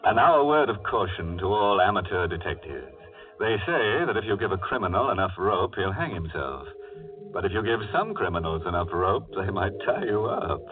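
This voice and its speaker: Sardonic ghoulish radio horror host, theatrical swoops. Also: male voice, deep voice, animated, distant mic. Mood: mock warning. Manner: mocking, tongue-in-cheek, drawn-out sinister delivery. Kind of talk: 1940s radio horror anthology hosting. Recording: scratchy, tinny 1940s radio broadcast, music.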